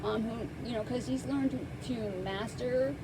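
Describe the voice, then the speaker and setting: A woman with a fairly high voice talks through a tarot reading, soft-spoken and halting. She sits close to the microphone. Steady background noise and passing traffic are heard behind her. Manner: soft-spoken, hesitant with many fillers. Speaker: tarot card reader. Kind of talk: casual tarot reading to camera.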